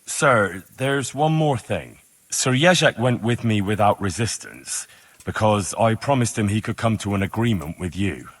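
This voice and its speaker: A man's voice: on the low side, expressive, unhurried, breathy edge. An actor playing an earnest young village lad in a video game, speaking in a plain, questioning way as he investigates. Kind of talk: dramatic video game dialogue.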